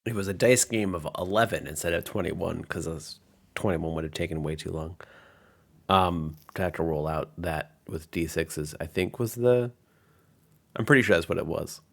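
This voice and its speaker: A man's voice: sits low, moderate pace, creaky. A man working as game master, narrating and commenting on a tabletop game in an informal, explanatory way.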